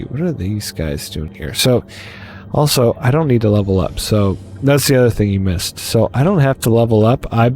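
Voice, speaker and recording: A man's voice: fairly low pitch, a little mellow, a little hiss or hum. A man hosting a live-streamed game let's play, talking casually with lots of restarts and fillers.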